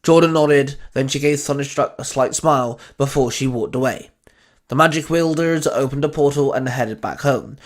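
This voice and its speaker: Male narrator, medium pitch, scripted storytelling, fan fiction reading. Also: moderate pace.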